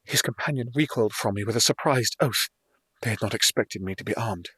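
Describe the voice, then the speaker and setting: Man narrating a dark fantasy audiobook, dry recording. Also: on the low side, a little fast, breathy edge, dry recording. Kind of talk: scripted dramatic first-person fantasy narration.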